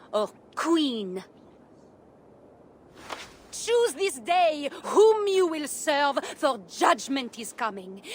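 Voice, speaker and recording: A zealous female preacher in a video game. She is high-voiced and slow, solemn and dramatic, every phrase weighed, with some ambient noise around her. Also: a bit bright, full character voice. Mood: stern, impassioned. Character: fervent, pious female preacher claiming holy healing powers. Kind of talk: video game sermon acting.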